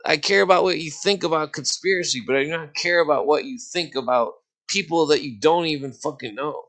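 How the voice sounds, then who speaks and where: A man's voice: a little high, brisk, fairly bright tone, no echo. A man hosting a podcast, arguing in a combative, profane and blunt way, often cutting in over the other person. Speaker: podcast host. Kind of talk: heated argumentative livestream chat.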